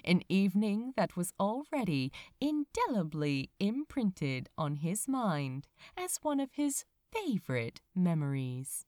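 A woman narrating fiction from a script in a tender, unhurried, expressive storytelling manner. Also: fairly low pitch, no echo, clean studio sound. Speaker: amateur audiobook narrator.

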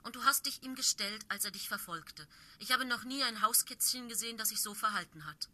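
A woman's voice: fairly high pitch, fairly quick, sharp tone. A woman voicing a proud, stern she-cat who leads a warrior clan, speaking in a firm, commanding way. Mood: stern, approving.

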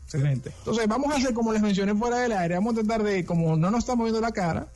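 A man's voice: high voice, lively intonation, fast talker, a little mellow. A Dominican man co-hosting a radio interview, chatty and introducing the guests at length with many restarts. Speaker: co-host. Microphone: remote call.

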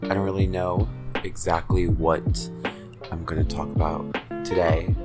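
19 yr old dude rambling on his podcast, low voice, swears a ton, kinda self-deprecating. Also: level tone, unhurried, loud music behind the voice. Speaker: podcast host. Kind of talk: casual solo podcast ramble.

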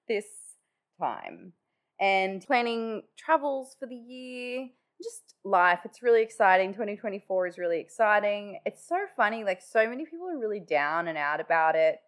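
A woman hosting her own podcast in casual, chatty talk, with a nasally, congested voice after just waking up. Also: medium pitch. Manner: chatty, many "like" fillers. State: just woken up. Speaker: podcast host. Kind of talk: casual solo podcast chat.

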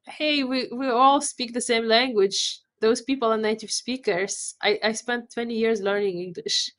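A woman's voice: fairly high pitch, steady tone, normal speed, fairly bright tone. A middle-aged woman with a Polish accent, a startup founder being interviewed on a podcast, earnest and often repeating words as she finds them.

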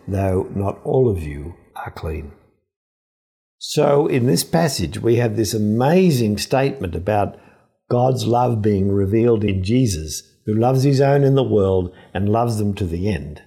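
Low, mellow man teaching scripture. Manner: deliberate, emphatic, didactic, with repetition for emphasis. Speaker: priest and scripture professor giving a gospel reflection. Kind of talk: explanatory religious teaching, verse by verse.

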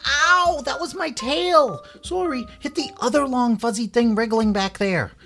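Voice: high-pitched, a little slow, vocal fry, over music, pitched above the performer's own voice. A man voicing a bossy, brash female kitten in a children's story, with a feisty, exclamatory delivery. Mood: pained, frantic.

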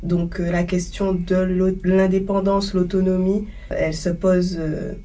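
Flat-voiced young woman from Guadeloupe. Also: lowish voice, measured pace, far from the mic. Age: 20s. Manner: hesitant, with fillers. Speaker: political science student, guest. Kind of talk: casual panel discussion.

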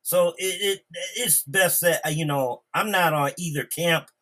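A man's voice: fairly high pitch, a little slow, sharp tone. A man talking bluntly and profanely in a casual, heated group discussion. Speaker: podcast discussion participant.

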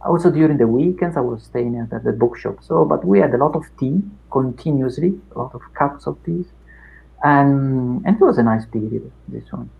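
A middle-aged man with an Italian accent, Italian his first language, talking through his past in an unhurried interview with many fillers and restarts. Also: medium pitch, animated, gravelly, highs cut off. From Italy. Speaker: academic guest. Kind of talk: reflective interview chat.

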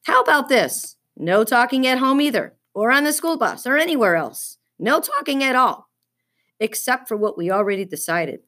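A woman's voice: fairly high pitch, very animated, moderate pace, fairly bright tone, pitched above the performer's own voice. A woman reading a children's story, voicing a stubborn, indignant fifth-grade girl who is snippy and haughty. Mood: challenging.

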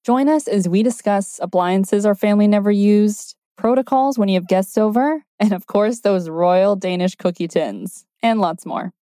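A woman's voice: medium pitch, moderate pace, hardly any pauses, dark tone, dry recording, studio quality. A woman born in China who hosts a casual podcast and opens the show in an upbeat, friendly way. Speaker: podcast host. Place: home, Toronto.

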